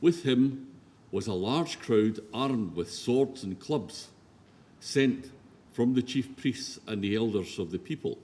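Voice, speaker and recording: Guy narrating scripture real slow and solemn, lowish voice, tons of church echo, bit of hiss. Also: animated, a little mellow. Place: large reverberant church. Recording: very echoey church, faint noise.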